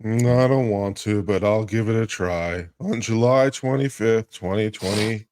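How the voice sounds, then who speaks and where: A man appearing as a guest commentator, giving a deliberate, theatrical reading of a lawsuit. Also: lowish voice, darkish tone, clear, resonant tone, dry recording. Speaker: guest commentator on a legal show.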